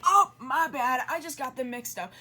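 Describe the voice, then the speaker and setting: A woman's voice: high voice, normal speed, bright, forceful tone. A woman giving informal explainer commentary in an earnest, conversational way, often correcting herself. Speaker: video creator and commentator.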